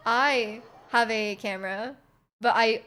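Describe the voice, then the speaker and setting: A woman live streaming a game, chatting casually with an excitable manner and often repeating her phrases. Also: a little high, slow. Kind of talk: casual live stream chat while playing. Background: game music.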